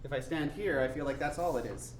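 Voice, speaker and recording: A man's voice: on the high side, a little fast, natural voice. Staged play: male actor as dreamy teenage son, earnest, obstinate, digressive; reverberant room with faint noise. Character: dreamy, stubborn college-bound son obsessed with birds. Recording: echoey room, distant mic, faint noise.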